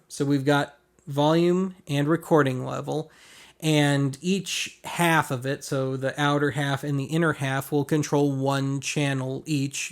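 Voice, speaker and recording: A man with a somewhat higher-pitched voice walks through equipment in a casual, explanatory way. His delivery is colloquial and wry, with self-deprecating asides along the way. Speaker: host of a vintage audio gear review show. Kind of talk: casual product walkthrough.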